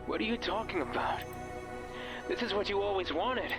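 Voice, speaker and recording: A man's voice: sits high, expressive, moderate pace, music in the background. An actor playing a manipulative, obsessive man's voice from a radio in a horror game, speaking with intimate, insistent, theatrical intensity. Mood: desperate, agitated. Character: manipulative, pleading male voice from a radio, obsessive and sinister. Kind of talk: dramatic horror game voice acting.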